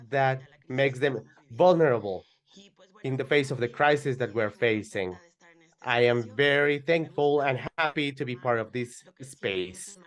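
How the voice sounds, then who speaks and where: Man interpreting live, low voice. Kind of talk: live consecutive interpreting into English.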